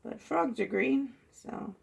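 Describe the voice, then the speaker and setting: A woman with a hoarse, froggy voice chatting softly as she pours and tilts acrylic paint, recorded on a phone mounted above her table. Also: sits high, expressive, slow pace. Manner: soft-spoken, chatty, muttering while working. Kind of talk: casual narration while painting. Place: indoor craft table. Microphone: phone held high above the table.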